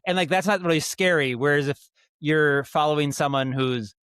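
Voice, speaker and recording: A man speaking as a podcast guest in casual interview talk, explaining his craft in a halting, thoughtful way with frequent self-corrections. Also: fairly high pitch, a bit bright, clean tone, no room sound, clean studio sound. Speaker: guest, audio drama writer and director.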